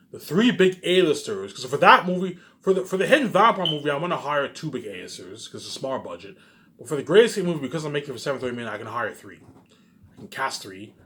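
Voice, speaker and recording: A man's voice: medium pitch, fairly bright tone. A man giving a rambling, informal monologue in a boastful, repetitive, emphatic way, swearing now and then. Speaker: vlogger.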